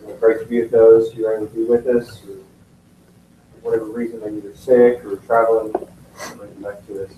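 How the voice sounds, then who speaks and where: A man leading an unscripted prayer in a soft, halting way, with fillers between phrases. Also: on the low side, little pitch movement, phone-quality sound. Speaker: class member leading prayer. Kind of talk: spontaneous spoken prayer. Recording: distant and roomy with faint noise.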